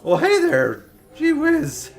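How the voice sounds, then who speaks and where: Squeaky awkward schoolboy voice that a guy puts on, super high and gushy, all gee whiz and golly. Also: wildly swooping pitch, slow, vocal fry, faint music behind, exaggerated voice. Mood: gushing. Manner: gee-whiz, wholesome and earnest. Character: squeaky-voiced awkward teenage boy, eager and gushing.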